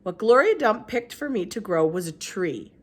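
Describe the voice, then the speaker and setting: A woman, a teacher, reading a children's novel aloud with expressive narration. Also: normal speed. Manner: expressive, lively pitch. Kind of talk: storybook read-aloud narration.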